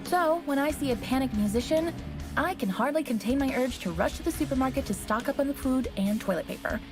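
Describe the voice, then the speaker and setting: Self-assured young heroine, wry narration, higher pitch, video game, music underneath. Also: female voice, fairly quick. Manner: wry, speaks hashtags aloud.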